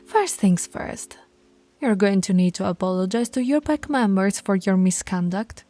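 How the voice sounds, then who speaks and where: A woman playing a dominant, teasing female alpha werewolf pack leader in an ASMR roleplay, commanding and often slipping into a breathy whisper. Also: mid-range voice, animated, normal speed, dark tone, lightly acted. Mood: stern, bossy.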